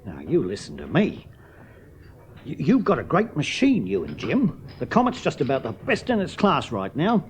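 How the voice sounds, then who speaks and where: An actor playing a cheerful, brash star aviator, talking in a breezy, slangy, hearty way. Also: male voice, mid-range voice, huge pitch swings, moderate pace. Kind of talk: 1970s radio drama acting.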